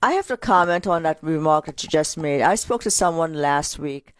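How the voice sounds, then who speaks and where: A woman co-hosting a financial radio show, telling client stories in an energetic, talkative way. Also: low-pitched, normal speed, no echo. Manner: energetic, storytelling with client anecdotes. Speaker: radio co-host, financial advisor. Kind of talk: casual radio finance talk.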